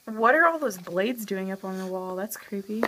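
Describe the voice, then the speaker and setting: A young woman filming a casual road trip vlog, teasing and excitable, recorded on a handheld phone outdoors and in a car. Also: mid-range voice, normal speed, noisy background. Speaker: vlogger. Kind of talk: casual travel vlog chat.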